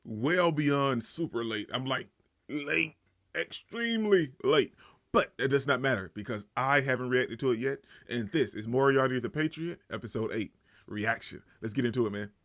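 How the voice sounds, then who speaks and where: A man giving an excited, casual reaction commentary, exclamatory and slangy as he hosts. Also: mid-range voice, normal speed, no echo, studio quality. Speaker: anime reaction host.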